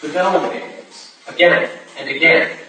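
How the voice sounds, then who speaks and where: A woman's voice: low-pitched, takes it slowly, sharp tone. A woman reading stage directions as scripted narration for a staged play. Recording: echoey hall, distant mic, noisy.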